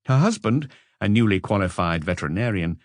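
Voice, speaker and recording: A man's voice: lowish voice, animated, fairly quick, no room sound, studio quality. A man reading a mystery novel as a scripted audiobook narration.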